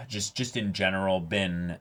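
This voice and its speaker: A man co-hosting a reaction show, casually analysing a story in an explanatory way, often halting to search for words. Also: sits low, a bit bright. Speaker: co-host of an anime reaction show.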